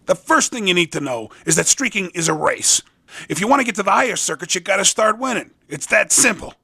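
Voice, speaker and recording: Skid, high, raspy hoverboard hustler. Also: male voice, expressive, a little fast, bright, forceful tone, exaggerated voice. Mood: cocky, instructive. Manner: slangy, cocky sales-pitch patter. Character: Skid, upbeat young male hoverboard race promoter and racer. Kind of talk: scripted video game character dialogue.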